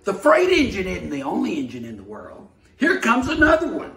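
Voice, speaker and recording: Guy doing a squeaky little toy clown, super excited, jumping all over the place, roomy sound. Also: moderate pace, heavily acted. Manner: squeaky, exclaiming. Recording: roomy, from the mic.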